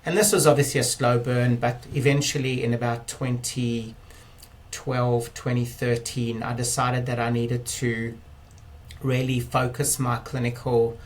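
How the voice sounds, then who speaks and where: A man's voice: mid-range voice, little pitch movement. South African man explaining at length. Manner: measured, explanatory, with frequent ums and restarts. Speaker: guest, clinic director. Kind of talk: interview talk.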